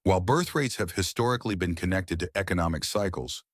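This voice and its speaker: A man reading a practice passage aloud in a steady, even way. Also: low voice, fast talker, no room sound, clean studio sound. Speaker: model reader for exam practice.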